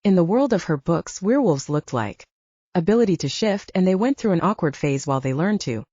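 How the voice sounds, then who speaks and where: Mellow, lively woman narrating fiction. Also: lowish voice, fairly quick, no room sound, muffled recording. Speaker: audiobook narrator.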